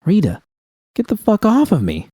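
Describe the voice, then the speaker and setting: A man voicing a groggy, foul-mouthed young woman who talks haltingly in an audiobook story. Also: sits high, huge pitch swings, warm tone, breathy edge, no room sound, clean studio sound, pitched above the performer's own voice, heavily acted. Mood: protesting. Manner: halting, swearing.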